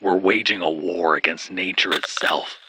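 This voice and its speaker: Deep, husky, grim soldier played by a man. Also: sharp tone, heavily acted. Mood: doomed dread. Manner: grim, deliberate, formal storytelling. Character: frightened, doomed male soldier recounting horror in Alaska. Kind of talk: scripted first-person horror narration.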